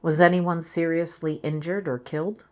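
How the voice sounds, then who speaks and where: A woman playing a clinician, asking scripted interview questions in a measured, neutral way. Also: sits low, level tone, close to an everyday voice. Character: measured female clinician giving a structured interview.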